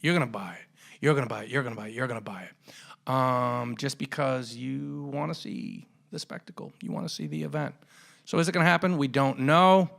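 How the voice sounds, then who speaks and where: Gravelly, bright-voiced man hosting a podcast. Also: medium pitch, fairly quick. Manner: punchy, colloquial delivery with showy flourishes. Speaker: podcast host. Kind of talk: boxing podcast commentary.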